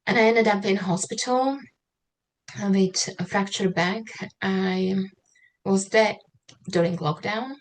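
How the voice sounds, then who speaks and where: A woman from Slovakia with a Slovak accent tells her personal story as an interview guest, haltingly, searching for words and repeating herself. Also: mid-range voice, rough recording. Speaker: interview guest, writer and mentor. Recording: noticeable background noise.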